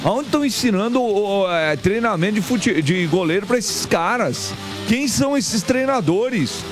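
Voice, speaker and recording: A man's voice: sits high, animated, a little slow. A Brazilian man hosting a football radio show, giving emphatic, colloquial opinions in a chatty commentary style. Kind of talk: opinionated sports commentary and chat. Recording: music bed under broadcast voice. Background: music.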